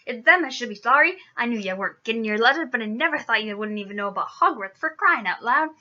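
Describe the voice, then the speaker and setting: Girl doing a big gruff irish giant from a storybook, loud n growly, temper but kind, dull lo-fi mic. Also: animated, brisk. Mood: outraged. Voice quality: booming, growling. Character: huge gruff, hot-tempered, kindly male giant gamekeeper.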